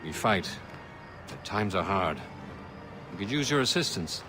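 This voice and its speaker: Low-voiced colonial rebel leader. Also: male voice, animated, a little slow, over music. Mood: resolute, earnest. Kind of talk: video game cutscene acting.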